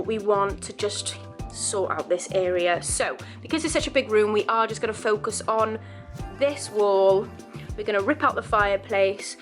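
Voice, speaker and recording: Chatty woman, croaky from dust. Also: fairly high pitch. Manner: chatty, rambling, laughs often. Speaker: DIY renovation vlogger. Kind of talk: casual chatty vlog to camera. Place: living room under renovation.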